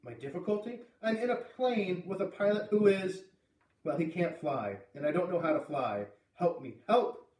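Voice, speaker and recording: Man acting a frightened boy pleading, high and echoey. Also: animated, a little mellow. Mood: frantic pleading. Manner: pleading, urgent, halting. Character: panicked frightened boy pleading for help over a plane radio. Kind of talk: audiobook character voice. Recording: echoey room, distant from the microphone.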